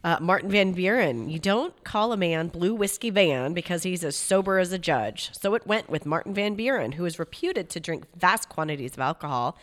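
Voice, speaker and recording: A woman co-hosting a casual talk show, her voice husky from a cold, reading notes aloud and laughing often. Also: lowish voice, expressive, moderate pace, hardly any pauses. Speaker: podcast co-host. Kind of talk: casual chat reading trivia.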